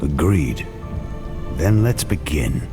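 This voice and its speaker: An actor playing a grim, battle-hardened warrior in a fantasy game, terse and resolute, with a gravelly voice. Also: male voice, a bass voice, expressive, slow, exaggerated voice. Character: grim, battle-hardened male warrior bent on defeating his brother. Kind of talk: dramatic fantasy game acting. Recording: long hall reverb, loud music behind.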